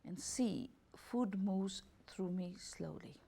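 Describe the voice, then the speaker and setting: Gravelly, mellow-voiced woman reading options. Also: mid-range voice. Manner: unhurried, reads options as A, B and C. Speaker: holistic health and beauty therapist. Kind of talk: reading out a questionnaire.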